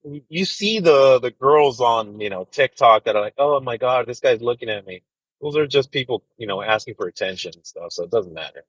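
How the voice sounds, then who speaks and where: A man's voice: mid-range voice, expressive, brisk, fairly bright tone, husky, no room sound. Trucker in his thirties, casual chatty livestream, says "dude" a lot, dull dry audio. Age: 30s. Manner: laid-back, rambling, full of fillers and "dude". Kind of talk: casual livestream chat with viewers. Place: inside a truck cab.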